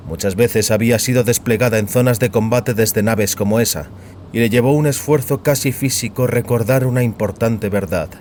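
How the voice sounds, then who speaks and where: A man's voice: medium pitch, normal speed, a little mellow, slight background noise. A man reading a science fiction story as scripted dramatic narration.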